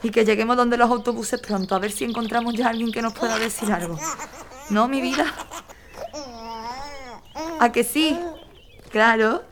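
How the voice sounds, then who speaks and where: A woman's voice: a little high, moderate pace, long pauses, crowd noise, close to an everyday voice. An actress playing a tired young mother fleeing war with her newborn in a radio drama, tender yet firm. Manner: tender to baby, firm and frightened.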